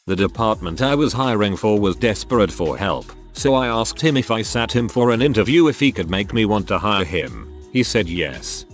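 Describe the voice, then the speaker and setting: A man reading online stories aloud as a scripted narrator, with a lively storytelling delivery. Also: lowish voice, normal speed, hardly any pauses. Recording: faint music underneath.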